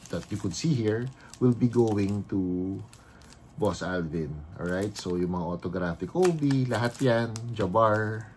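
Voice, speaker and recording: Filipino guy in his 50s, lowish voice, casual vlog chat with tagalog mixed in, bit of background hum. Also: darkish tone. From Philippines. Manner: mixes Tagalog into English, frequent fillers and pauses. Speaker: vlogger and card collector.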